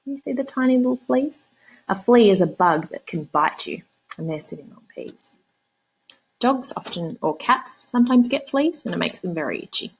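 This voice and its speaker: A female primary teacher with an Australian accent reads a picture book aloud. She pauses to explain words and invite guesses from young listeners. Her pitch is very animated, with a husky touch to the tone. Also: poor audio. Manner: prompting young listeners with questions. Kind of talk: storybook read-aloud with explanations for young children.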